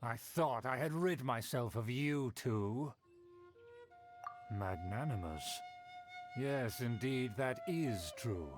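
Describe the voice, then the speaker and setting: A man's voice: mid-range voice, expressive, takes it slowly. A man voicing a haughty, self-important aristocrat in a video game, speaking formally and pompously. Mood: condescending. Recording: music behind the voice.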